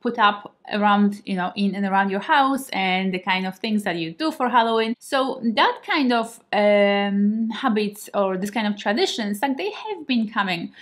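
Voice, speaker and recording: A Polish-born woman in her thirties, a native Polish speaker, chatting to the camera at home. She talks in a relaxed, reflective way and laughs easily. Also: medium pitch, moderate pace, few pauses. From Poland. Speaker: vlogger. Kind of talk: casual talk to camera. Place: home kitchen and living room, indoors.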